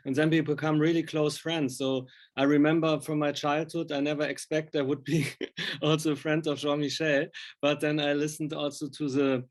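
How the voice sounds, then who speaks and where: A man's voice: fairly high pitch, little pitch movement, normal speed. A man speaking non-native English, interviewing informally with rambling, enthusiastic fan talk. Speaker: interviewer, film and music journalist.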